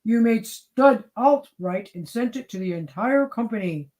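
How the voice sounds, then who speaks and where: A woman's voice: a little high, expressive, unhurried, darkish tone. A woman playing an exasperated, accusing studio colleague in a dramatic story reading. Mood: angry, stern. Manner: stern, accusatory.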